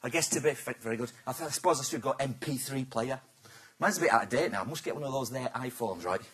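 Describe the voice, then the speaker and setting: A man's voice: mid-range voice, fast, fairly bright tone. A man giving a business talk to a live audience, chatty and teasing, throwing questions at the crowd. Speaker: presenter.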